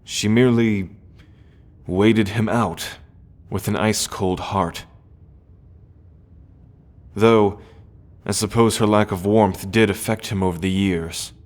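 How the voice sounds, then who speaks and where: Male actor as earnest fantasy prince, lowish voice, very slow pace, formal measured diction, loyal and sincere, audio roleplay. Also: fairly even intonation, darkish tone. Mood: somber, grieving. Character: earnest, grieving prince, loyal sibling of the dragon heir.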